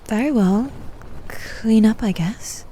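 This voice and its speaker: Woman as slow, hushed, teasing demon knight. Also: a little high, slight background noise, rough recording. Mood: cool, suspicious. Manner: soft, breathy, often whispered, deliberate and teasing. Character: teasing, haughty female demon knight bodyguard. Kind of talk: ASMR roleplay.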